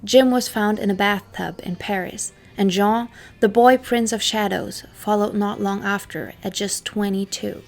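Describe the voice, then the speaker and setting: A woman who is not a native English speaker, hosting her own channel and narrating a scripted story in a dramatic, hushed style, her phrases often trailing off into a whisper. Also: mid-range voice, steady tone, a little slow, creaky, hardly any pauses. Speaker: history channel host.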